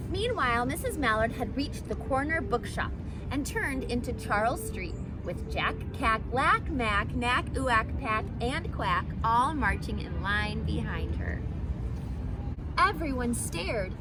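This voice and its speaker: A woman reading a children's storybook aloud in an expressive, instructive way, with explanatory asides. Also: a little high, slow, loud music behind the voice. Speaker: storybook reader. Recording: loud outdoor ambient noise.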